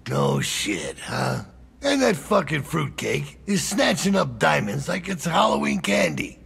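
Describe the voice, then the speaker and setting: A middle-aged crime boss in a cinematic game, his voice thick with gravel and swinging widely in pitch. He swears freely and sounds permanently fed up. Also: huge pitch swings, a little slow, a bit bright, exaggerated voice. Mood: worked up. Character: hot-tempered, foul-mouthed cowboy-hatted male crime boss.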